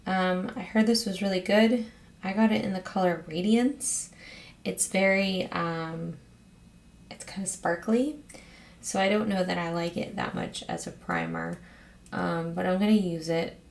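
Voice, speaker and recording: A woman with a hoarse, raspy voice, chatting casually as host of her own get-ready-with-me vlog at home, rambling with frequent ums. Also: mid-range voice, darkish tone. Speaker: beauty vlogger host.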